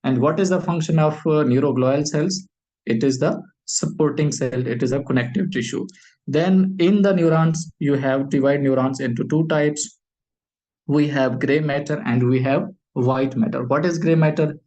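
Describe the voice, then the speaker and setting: A Pakistani man, a native Urdu speaker, giving a medical lecture in English, pausing often on fillers, repeating phrases and posing questions he then answers. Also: on the high side, dark tone, low-quality recording.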